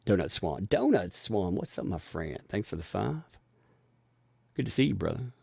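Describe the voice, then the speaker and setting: A man hosting a casual live stream, thanking viewers for donations and rambling with frequent restarts and chuckles; he has a gravelly voice. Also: deep voice, sing-song, moderate pace, dark tone, dry recording.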